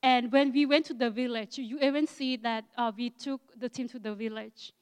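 Woman, 60s, Akha Thai accent, halting second-language English, smooth clear voice, reverberant church hall. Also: fairly high pitch, fairly even intonation. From Thailand. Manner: halting, searching for words, frequent fillers. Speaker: guest missionary speaker. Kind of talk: church talk with thanks.

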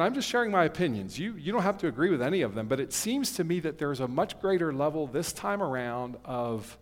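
A man in his fifties, a pastor, speaking to his congregation in a conversational, earnest way with frequent fillers and restarts. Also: on the high side. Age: 50s. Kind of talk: sermon. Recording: long hall reverb.

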